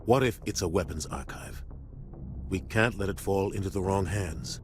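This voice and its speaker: An actor playing an earnest, grave veteran spaceship captain in a video game, with a commanding military manner. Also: male voice, low-pitched, animated, moderate pace, faint music behind. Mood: grave, concerned.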